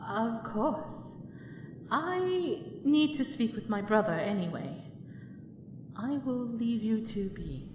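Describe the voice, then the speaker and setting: A woman's voice: a little high, expressive, slow pace. A woman playing a polite, tactful young noblewoman in an audio drama. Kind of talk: fan audio drama acting. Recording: echoey hall with clear noise.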